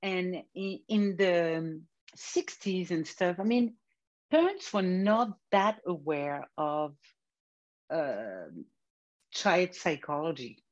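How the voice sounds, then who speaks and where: A woman, giving brief, soft-spoken answers as an interview guest. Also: fairly low pitch, sing-song, slow pace. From France. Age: 60s. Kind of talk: personal interview. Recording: dull lo-fi audio.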